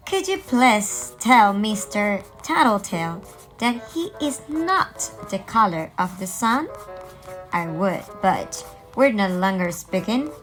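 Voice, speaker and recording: Woman voicing a cocky, animated orange crayon guy. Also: mid-range voice. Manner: smug, mocking. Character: cocky, scornful male orange crayon in a feud. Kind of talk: storybook read-aloud.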